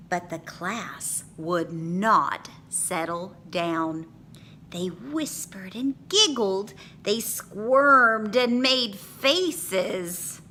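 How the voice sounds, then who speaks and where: Female read-aloud narrator for kids: expressive, bright, forceful tone, very unhurried, some noise behind. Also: fairly low pitch. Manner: animated, dramatic phrasing. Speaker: storyteller reading aloud to children.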